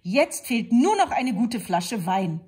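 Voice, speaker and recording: Woman playing a shrill scheming wolf. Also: very animated, takes it slowly, darkish tone, husky, full character voice. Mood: eager. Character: sly hungry male wolf, fussy and scheming.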